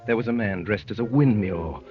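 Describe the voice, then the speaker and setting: A man's voice: lowish voice, a little fast, natural voice. A man acting as an adventurer who narrates a wild chase in theatrical, declamatory 1930s radio drama style. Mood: hushed, wondering. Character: male adventurer recounting a wild chase, urgent, amazed. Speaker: narrator and lead actor. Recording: dull 1930s radio recording, music behind.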